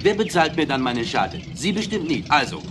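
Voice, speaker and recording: Grumpy, animated innkeeper, noisy background. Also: male voice, fairly high pitch, brisk. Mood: indignant, stubborn. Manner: curt, agitated. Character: grumpy, stubborn, fearful male innkeeper. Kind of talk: audio drama acting.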